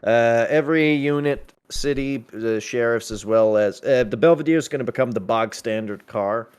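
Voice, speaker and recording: A man's voice: mid-range voice, normal speed, darkish tone, clear, resonant tone. A man chatting casually during a game, explaining things emphatically and repeating himself for clarity. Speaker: roleplay server police lead. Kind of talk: casual chat while gaming.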